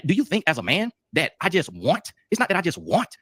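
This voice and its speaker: A man's voice: on the high side, huge pitch swings, a little fast, a bit bright, breathy edge, no echo. A man in a heated debate, speaking firmly and in a moralizing, preacher-like cadence as he declares where he stands. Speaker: debate guest.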